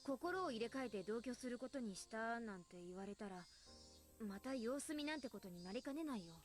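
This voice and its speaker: Woman voicing a blunt, fast-talking teenage anime girl. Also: fairly high pitch, expressive, natural voice. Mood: resigned, firm. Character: frank, exasperated teenage girl explaining grimly. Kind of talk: Japanese visual novel voice acting. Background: game music.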